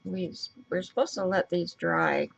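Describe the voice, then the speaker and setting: A woman with a raspy voice and a stuffy nose, chatting casually as she works through a crafting tutorial and thinks aloud. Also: fairly low pitch, a little slow, dull audio. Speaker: craft video host.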